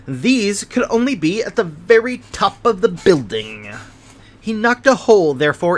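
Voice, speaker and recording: A man voicing an excitable, fragile hypochondriac gentleman who chatters eagerly in a high voice, in a storybook reading. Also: full character voice. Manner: high voice rising above the noise, eager chatter.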